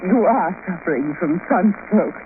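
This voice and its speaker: An actress playing a haughty, scornful society wife in an arch, theatrical old radio-drama manner. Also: female voice, lowish voice, lively intonation, a little slow. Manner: arch, theatrical, cool disdain. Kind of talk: 1940s-50s radio drama acting. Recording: noisy, narrow-band old radio recording.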